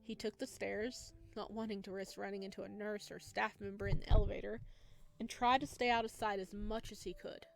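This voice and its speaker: A woman's voice: mid-range voice, flat delivery, a little fast, gravelly, poor audio. A woman doing a let's play, reading game text aloud and adding casual reactions. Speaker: host playing and reading a visual novel. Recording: music behind the voice. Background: background music.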